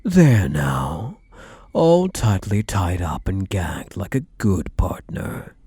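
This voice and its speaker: A man as a deep-voiced, possessive yandere groom. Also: sing-song, mellow, slightly rough, no echo, exaggerated voice. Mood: gloating, possessive. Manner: slow, taunting, mock-tender endearments. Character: possessive yandere kidnapper groom, smugly doting and menacing. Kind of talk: ASMR roleplay audio drama.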